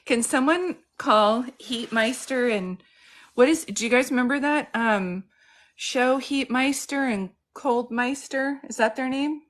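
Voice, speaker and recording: A middle-aged woman psychic medium hosting a casual live stream, chatting warmly with viewers, greeting them by name and reading out their comments. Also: high voice, a little slow. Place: home in Santa Cruz.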